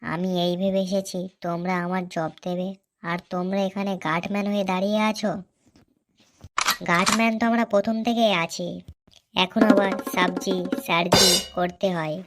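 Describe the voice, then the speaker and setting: A woman's voice: lowish voice, fairly even intonation, normal speed. A woman speaking dialogue for a comedy cartoon. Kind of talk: cartoon comedy dialogue voicing several characters. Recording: loud music behind the dialogue.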